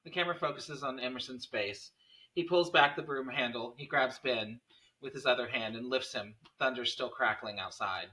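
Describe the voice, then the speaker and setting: A man narrates a screenplay at a table read. His mid-range voice is bright and crisp in tone, picked up a little way from the microphone. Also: medium pitch, moderate pace, far from the mic, poor audio. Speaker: narrator reading stage directions.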